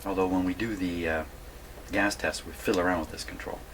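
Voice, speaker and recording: An older man speaks in a low register over noticeable background noise. He talks casually, as if demonstrating at a workbench, thinking aloud as he goes. His delivery is folksy and rambling, with a self-deprecating streak. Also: fairly even intonation, brisk, a bit bright. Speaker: vintage radio repair hobbyist presenting a video. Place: indoor radio repair workshop.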